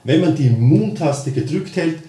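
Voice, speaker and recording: A man's voice: a little high, expressive, measured pace, heavy background noise. Austrian man explaining, in an echoey room. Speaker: presenter of a product tutorial. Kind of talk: spoken how-to explanation of a gadget. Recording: roomy, echoing sound.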